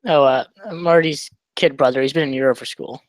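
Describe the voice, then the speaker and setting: A man playing a gruff, hockey-obsessed uncle in his fifties in a table read, blunt and distracted. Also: medium pitch, fairly even intonation, brisk, no room sound, lightly acted. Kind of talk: live screenplay table read.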